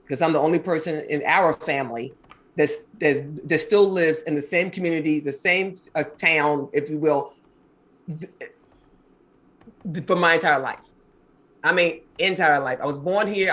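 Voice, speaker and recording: Woman guest, 50s, from Maryland: deep husky voice, quick animated storytelling, casual interview tone. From Maryland, USA. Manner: fast-talking, animated storyteller.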